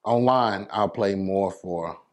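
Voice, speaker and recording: A man's voice: low voice, slow pace. An American man speaking casually as an interview guest, colloquial and full of conversational check-in phrases. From New York City, USA. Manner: colloquial, peppered with "you know what I'm saying".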